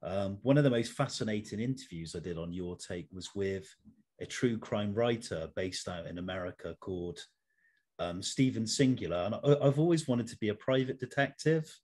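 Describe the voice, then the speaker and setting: Male interview host, lower register. Measured, formal phrasing, prepared questions. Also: darkish tone.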